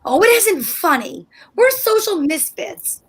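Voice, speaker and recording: A woman's voice: very high-pitched, sing-song, unhurried, full character voice. A woman playing a sarcastic, scheming housewife in an amateur old-time radio comedy. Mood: exasperated. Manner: tart, sarcastic, flustered. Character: scheming indignant housewife Liz.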